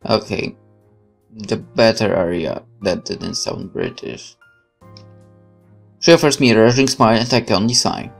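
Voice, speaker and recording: A man's voice: on the low side, normal speed, vocal fry, long pauses. A man hosting a let's play, switching between casual commentary and reading the game's narration aloud, with game music behind him. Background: video game music.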